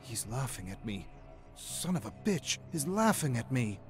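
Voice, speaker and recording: A man's voice: medium pitch, sing-song, moderate pace, soft background music, lightly acted. A man voicing a stubborn, bitter young survivalist with a brooding, defiant manner in a dramatic story.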